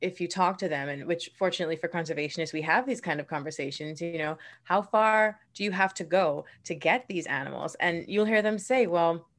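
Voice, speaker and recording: A young woman from the Bahamas speaks in a lowish voice, explaining things earnestly and at length. Her pace shifts between quick bursts and slower, careful stretches. She talks over a video call from a room at home. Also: a bit bright. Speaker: marine conservationist guest. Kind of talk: casual interview.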